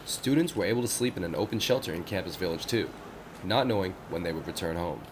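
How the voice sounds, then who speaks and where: A man's voice: low-pitched, animated, quick pace, a loud crowd. A man working as a news reporter, reading scripted narration for a news story.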